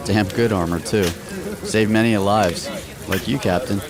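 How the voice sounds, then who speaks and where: Casting: a deep-voiced, dry-witted sergeant major, loyal and sparing with words, radio drama with crowd behind. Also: male voice, a little slow. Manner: laconic, deadpan. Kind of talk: post-apocalyptic radio drama acting.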